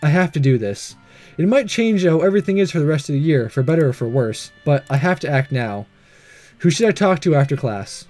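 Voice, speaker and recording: Man reading as earnest, nervous lovestruck high schooler. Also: animated, fairly quick, darkish tone, faint music behind, natural voice. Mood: earnest, pondering. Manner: hesitant, earnest. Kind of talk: reading visual novel dialogue aloud.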